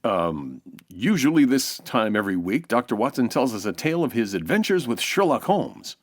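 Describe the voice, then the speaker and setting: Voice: mid-range voice, sing-song, moderate pace. An actor playing a polite, flustered announcer in an old-time radio comedy, with a courteous, hesitant way of talking. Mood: hesitant, puzzled. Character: polite, flustered radio show announcer visiting Watson's house.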